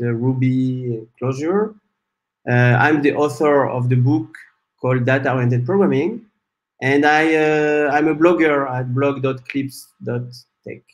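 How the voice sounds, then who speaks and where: A man in his forties from France, giving a talk on a video call with many "uh" pauses. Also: medium pitch. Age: 40s. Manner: measured, frequent "uh" fillers. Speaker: guest speaker, software developer. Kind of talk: conference talk presentation. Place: small room at home. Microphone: video call webcam.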